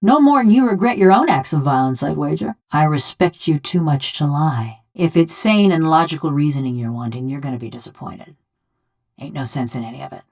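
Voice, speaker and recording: A woman's voice: sits low, a little mellow. An actress playing a wry, blunt, vengeful woman killer whose soul lives in a bronze body, speaking in a folksy rustic drawl for a video game. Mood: unrepentant. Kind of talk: video game character dialogue.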